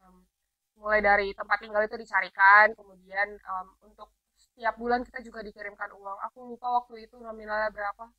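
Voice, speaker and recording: Young woman talking fast n bright, slightly muffled dry recording. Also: medium pitch, no echo. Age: young adult. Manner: quick, lively storytelling. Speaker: guest, former exchange student. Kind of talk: casual interview chat.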